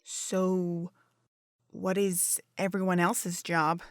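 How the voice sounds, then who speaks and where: A woman's voice: fairly low pitch, level tone, very slow, dry recording, clean studio sound. A woman acting in a fan audio drama as a curious, hesitant young amateur who speaks in a questioning way. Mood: intrigued.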